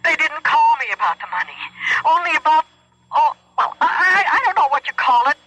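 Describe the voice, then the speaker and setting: An actress playing an anxious accomplice wife in a 1970s radio drama, fretful and pleading. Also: female voice, high-pitched, very animated, normal speed, sharp tone, dull audio, a little hiss or hum, full character voice. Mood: flustered, upset. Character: anxious accomplice wife posing as grieving widow.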